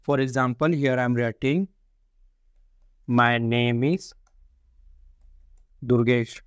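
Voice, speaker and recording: A man's voice: medium pitch, fairly even intonation, takes it slowly, clean tone, dry recording. Man teaching coding, dry close sound. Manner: repetitive, explains step by step with frequent "see" and "only". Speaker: programming tutor. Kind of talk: screen-recorded coding lesson.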